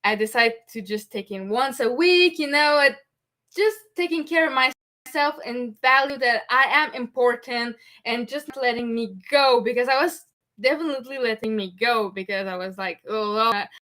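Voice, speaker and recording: A woman from Montreal speaks English with a Québécois French accent, her voice bright and fairly high. She talks earnestly and openly, circling back on her points and checking in with listeners. French is her first language, and she hosts the show. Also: moderate pace. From Montreal, Canada. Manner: earnest, repeats herself, frequent "okay?" asides. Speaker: podcast host. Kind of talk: candid personal talk.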